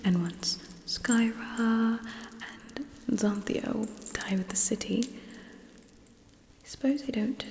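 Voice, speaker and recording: A young woman voicing a coolly menacing, scheming female alien leader in a breathy, deliberate ASMR roleplay whisper. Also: on the high side. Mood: cold satisfaction. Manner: slow, deliberate, hushed, coolly condescending. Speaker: ASMR performer. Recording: long reverberant echo.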